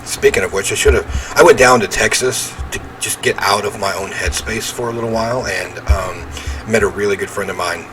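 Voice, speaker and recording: A man with a fairly low voice hosts a let's-play. He talks casually, reading out game text and adding informal asides. There is heavy noise behind him. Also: brisk. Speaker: gaming video host.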